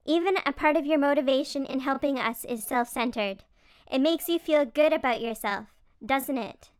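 A man's voice: very high voice, moderate pace, no echo, clean studio sound, pitched above the performer's own voice. A man voicing a chatty, teasing, motherly female beaver in a storybook, with an emphatic, playful manner. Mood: reassuring, chatty.

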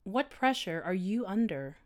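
A woman voicing a calm, kindly female owl, a gentle and sympathetic listener in a storybook tale. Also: medium pitch, pitched above the performer's own voice. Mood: calm, curious. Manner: soothing, sympathetic, unhurried. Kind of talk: acted storybook character dialogue.

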